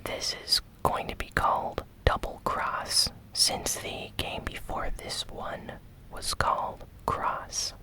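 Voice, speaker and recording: A host whispering a gaming news recap in a gentle, deliberate, breathy ASMR style. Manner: slow, gentle, deliberate delivery with short phrases.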